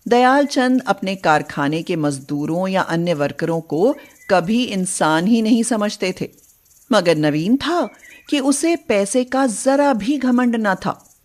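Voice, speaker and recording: Slow-paced woman narrating a story. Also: medium pitch.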